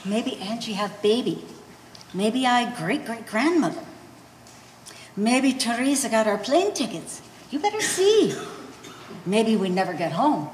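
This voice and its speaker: A woman's voice: a little high, big pitch swings, a little slow, pauses often. A woman playing a blunt eighty-year-old Polish immigrant mother who speaks broken English, in a staged play reading. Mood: fretful, insistent. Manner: broken English with dropped verbs and articles. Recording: echoey hall, faint background noise.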